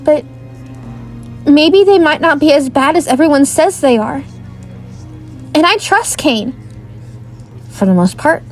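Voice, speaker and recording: A woman's voice: high-pitched, animated, measured pace, pauses often, soft background music. A woman playing an earnest, slightly unsure young female bard in an audio drama, speaking conversationally. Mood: hopeful, unsure. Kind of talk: fan audio drama acting.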